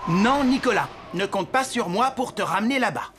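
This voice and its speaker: Loyal, animated cartoon reindeer. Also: male voice, sits high, moderate pace. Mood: firm, encouraging. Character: loyal, worrying male flying reindeer, devoted helper. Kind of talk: French children's cartoon dubbing. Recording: loud music behind the voice.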